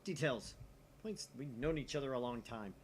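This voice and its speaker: A man's voice: medium pitch, animated, moderate pace, a little hiss or hum, lightly acted. Man playing a grandiose young mad scientist, dramatic swings. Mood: insistent, self-important. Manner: pompous, dramatic declaiming. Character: grandiose young self-styled mad scientist, male student.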